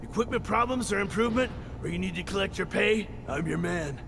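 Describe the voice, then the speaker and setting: A man's voice: high-pitched, fairly quick. An actor playing an eager, courteous army quartermaster in a video game, formal and salesman-like. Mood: welcoming, eager. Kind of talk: video game dialogue acting. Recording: clear background noise and ambience.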